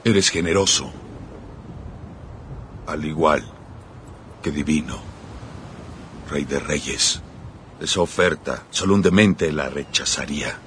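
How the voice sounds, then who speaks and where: A proud, sardonic warrior king in an epic film dub. His voice is very deep and his delivery slow and dryly defiant. Faint soundtrack noise sits behind him. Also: fairly bright tone, heavily acted. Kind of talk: dubbed epic film acting. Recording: noisy film soundtrack clip.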